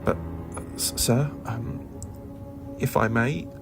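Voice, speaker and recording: A man's voice: medium pitch, slow, over music, lightly acted. A man acting a timid, grovelling underling in an audio drama, hesitant, stammering and deferential. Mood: nervous, pleading.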